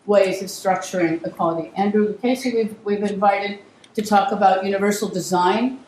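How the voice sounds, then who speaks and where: A woman's voice: mid-range voice, steady tone, normal speed, a little mellow. A middle-aged woman directing a university program, opening a workshop with a casual talk, her voice weak and strained, full of ums and restarts. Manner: conversational, many ums and restarts. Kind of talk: welcoming talk to a live audience. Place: university seminar room. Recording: echoey room, voice from far off, clear noise.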